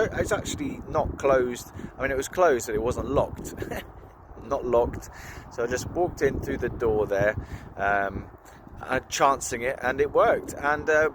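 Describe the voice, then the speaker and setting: A man's voice: medium pitch, noisy background. A young man casually telling a story to camera, rambling and informal. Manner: rambling, informal, with fillers and laughs. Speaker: vlogger.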